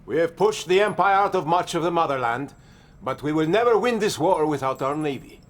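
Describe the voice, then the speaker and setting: An actor playing a stern, sardonic Soviet army general with a Russian accent in a video game cutscene. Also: male voice, fairly high pitch, animated, fairly quick, close to an everyday voice. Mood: dismissive. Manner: sardonic, blustering, disdainful.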